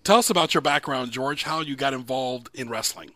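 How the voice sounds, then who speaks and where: A man hosts a local sports talk radio show from the studio, briskly plugging websites and sponsors between questions to his guest. His voice sits at a middle pitch with a bright edge, recorded close and dry. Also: dry recording.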